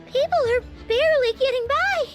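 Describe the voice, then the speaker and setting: A woman's voice: extremely high pitch, moderate pace. A woman voicing a tiny, childlike, chatty fairy companion in a video game. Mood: curious, sympathetic. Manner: childlike, speaks of herself in third person. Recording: loud music over the voice.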